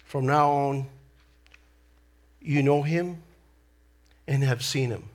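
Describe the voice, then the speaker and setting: A middle-aged man with a Salvadoran Spanish accent preaches in a slow, unhurried cadence, Spanish being his first language. His voice sits at an ordinary middle pitch, and he talks in an earnest, deliberate way with pauses between phrases. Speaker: lay preacher. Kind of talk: sermon.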